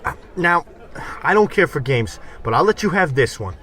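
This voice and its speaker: A man playing a scrappy, smooth-talking wiseguy pal in slangy, wisecracking 1940s radio comedy style. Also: a little high, very animated, moderate pace, fairly bright tone. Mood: indignant.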